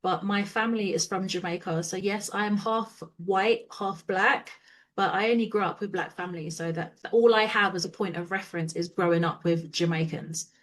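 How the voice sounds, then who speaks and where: A woman, a business co-founder and interview guest, who explains her ideas in a measured, steady way. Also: medium pitch. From London, England. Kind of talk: casual interview chat.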